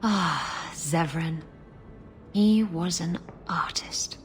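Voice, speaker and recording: A woman's voice: on the low side, extremely slow, soft background music. An actress playing a sultry, guarded pirate woman in a video game, teasing and flippant. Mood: wry.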